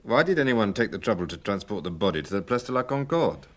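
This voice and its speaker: An actor playing an authoritative police superintendent in a radio drama, formal, orderly and deliberate in his explanations. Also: fairly low pitch, sing-song. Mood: grave, explanatory.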